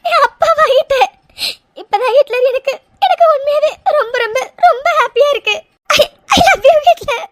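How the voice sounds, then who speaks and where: A woman playing a sweet, emotional young wife in an audio drama, speaking in a halting, plaintive way. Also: extremely high pitch, darkish tone. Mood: crying with joy. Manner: halting, repeating words, plaintive. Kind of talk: emotional serial-style audio drama acting.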